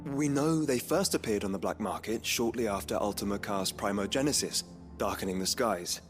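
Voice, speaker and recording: A man's voice: fairly low pitch, normal speed, soft background music, natural voice. An actor playing a courtly, earnest fantasy nobleman in a video game, with formal and thoughtful phrasing. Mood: speculating. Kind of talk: dramatic video game acting.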